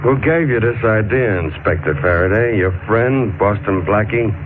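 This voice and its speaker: An actor playing a cool, haughty ship captain, formal and commanding, in a 1940s radio detective drama. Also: male voice, on the low side, close to an everyday voice. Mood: disdainful. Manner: formal, measured, disdainful. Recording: noisy, tinny 1940s radio broadcast.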